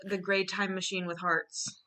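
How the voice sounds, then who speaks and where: A woman co-hosting a casual chat, retelling a story in a halting, amused way. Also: medium pitch, flat delivery, crowd noise. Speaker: co-host recapping a drawn story.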